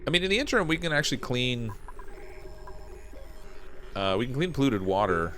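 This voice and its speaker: A man streaming a game live, thinking aloud and answering chat, his voice still lower than usual from a cold, with frequent laughs. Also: sing-song, normal speed, a bit bright, faint music behind. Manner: chatty, laughs often, thinks aloud with restarts. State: voice lower from getting over a cold. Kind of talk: live gameplay commentary.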